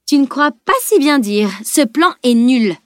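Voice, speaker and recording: A woman voicing a bossy, sharp-tongued teenage girl with a commanding, sarcastic delivery in an audiobook. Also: a little high, normal speed, no echo, clean studio sound, close to an everyday voice. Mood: scornful. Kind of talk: dramatised audiobook dialogue.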